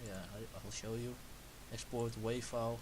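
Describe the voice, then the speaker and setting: A young man with a Dutch accent, Dutch his first language, explaining music production step by step in a halting, self-correcting way. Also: mid-range voice, flat delivery. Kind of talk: screen-recorded production tutorial. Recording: hissy home recording.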